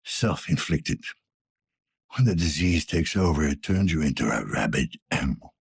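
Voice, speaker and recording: A weary older police detective, deep voice, gruff and terse, varied intonation, video game dialogue. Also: male voice, lively intonation, normal speed, no room sound, clean studio sound, exaggerated voice. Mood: grim, clinical. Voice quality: husky, gravelly. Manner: terse, world-weary, low-key delivery.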